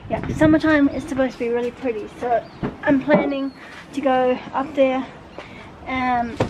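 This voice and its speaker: A young woman vlogging to a handheld camera, chatting casually and rambling with many ums and small laughs, first out in a windy town and then at home. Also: a little high. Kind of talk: casual vlog chat. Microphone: handheld camera at arm's length.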